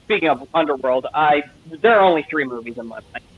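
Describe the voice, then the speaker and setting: A man calling in as a guest in casual stream chat, quick to agree with the host. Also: on the high side, expressive, breathy edge. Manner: quick to agree, chuckles along. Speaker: guest caller. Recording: tinny phone-like line with faint noise.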